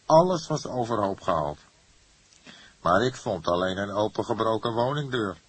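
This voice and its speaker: A man's voice: on the low side, expressive, moderate pace, slightly rough, dry recording, pitched above the performer's own voice. A man voicing an agitated, defiant young murder suspect in an audiobook, speaking emphatically and with emotion. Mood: tense, insistent. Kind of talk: acted audiobook dialogue.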